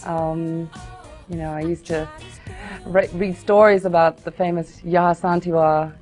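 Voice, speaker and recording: A young adult singer-songwriter from Ghana who answers interview questions in a reflective, earnest way. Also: female voice, fairly low pitch, level tone, measured pace, a little mellow, soft background music.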